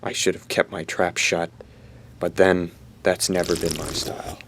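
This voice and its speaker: A man playing a sardonic, guilt-ridden teenage boy in a radio drama, brooding and halting as he confesses. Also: low voice, flat delivery, a bit bright. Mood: bitter, wry. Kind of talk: radio drama acting with first-person narration.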